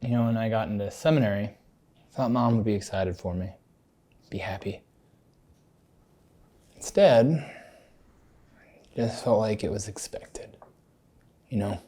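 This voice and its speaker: A man's voice: fairly low pitch, steady tone, unhurried, long pauses, warm tone. A man acting a skeptical, defensive big brother in naturalistic drama. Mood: subdued, sincere. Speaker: actor.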